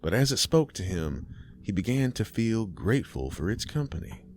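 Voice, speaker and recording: A man narrating a horror story in a measured, ominous way. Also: on the low side, very animated, slight background noise. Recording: music bed behind.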